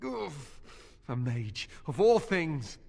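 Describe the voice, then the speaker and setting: Casting: desperate young knight prisoner, fantasy game, high voice, big emotional swings, slow and halting. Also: male voice, big pitch swings, creaky, a little mellow, exaggerated voice. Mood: defiant anguish. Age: young adult. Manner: anguished, halting, emphatic. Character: tormented, exhausted young male templar prisoner, desperate and defiant. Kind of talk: fantasy video game voice acting. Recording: echoing reverb, game music behind.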